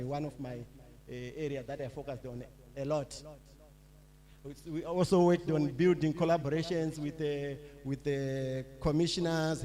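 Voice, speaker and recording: A middle-aged man from Zimbabwe giving a public lecture, talking in a halting way with many fillers and restarts. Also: a little high. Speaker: lecturer, associate professor.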